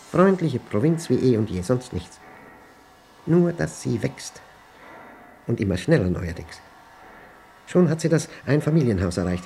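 An actor playing a brooding, suspicious old widower who speaks a halting, introspective diary monologue in a German radio play. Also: male voice, mid-range voice, warm tone. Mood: agitated, uneasy. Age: older. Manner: halting, deliberate, broken phrases.

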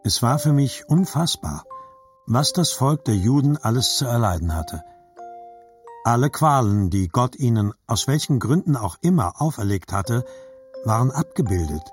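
An actor narrating as a grown man remembering his childhood, measured and reflective, in scripted literary style. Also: mid-range voice, expressive, soft background music, close to an everyday voice.

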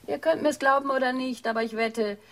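A woman's voice: high-pitched, steady tone, fairly quick. A woman playing a brazen, hard-bitten streetwalker in a German radio play, brash and sneering. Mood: mocking.

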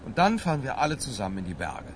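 An actor in a radio drama playing an evasive middle-aged husband who talks placatingly and turns agitated under pressure. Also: male voice, mid-range voice, big pitch swings, fast talker, slight background noise, close to an everyday voice. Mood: falsely cheerful. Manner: placating, swinging from muttered to loud.

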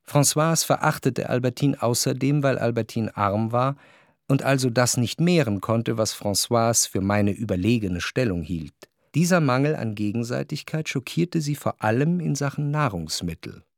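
An actor playing a reflective male narrator, delivering measured, introspective literary narration in a German radio play. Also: mid-range voice, animated, no room sound, clean studio sound, lightly acted. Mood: analytical, musing. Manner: measured, introspective, long flowing sentences. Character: reflective, jealous male narrator recalling his past.

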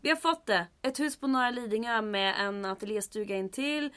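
An actress playing a cool-headed, wry warplane captain in an old-time radio drama, clipped and commanding. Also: female voice, natural voice. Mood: businesslike. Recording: loud rumbling aircraft engine noise. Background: aircraft engine rumble.

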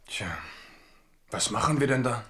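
An actor in a German radio play playing a genial, earthy king who talks in a familiar, theatrically expressive way. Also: mid-range voice, a little slow. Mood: amused, curious. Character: genial, earthy king, warm with commoners and old comrades.

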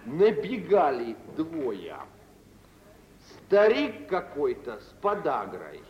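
An actor playing a shrewd, gossipy young merchant in a 1940s Russian radio play, telling tales in an expressive, teasing way. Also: male voice, fairly high pitch, slow pace, exaggerated voice. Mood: mocking, gossipy. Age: young adult. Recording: dull, echoey, hissy old radio recording.

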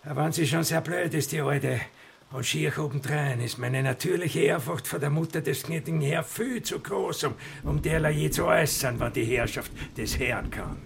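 Gravelly, sly Austrian servant. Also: male voice, fairly high pitch, moderate pace, a little hiss or hum. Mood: mocking, falsely servile. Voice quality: gravelly, husky. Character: sly insolent rural male servant, falsely servile. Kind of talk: radio drama acting.

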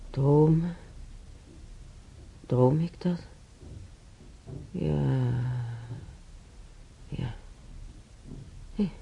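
Old sick lady in a radio play, really husky n creaky, tired, confused, halting. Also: deep voice, dull audio, heavily acted. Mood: dazed, confused. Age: older. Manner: halting, repeating words, moaning sighs. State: weak, weary, in pain. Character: frail old female hospital patient, weary, in pain, confused.

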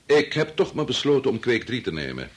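A man's voice: mid-range voice, sing-song, fairly quick, breathy edge. An actor playing a middle-aged, preoccupied and domineering doctor and father in a Dutch radio drama, muttering or curt. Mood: absorbed, muttering. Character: preoccupied, domineering male doctor-scientist, father of a grown son.

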